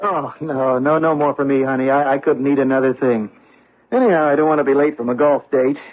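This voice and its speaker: An actor playing a genial middle-aged husband in a radio drama, stammering and breezy, often chuckling. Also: male voice, medium pitch, fairly even intonation, brisk, over music, natural voice. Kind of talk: 1970s radio drama acting. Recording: tinny old radio broadcast.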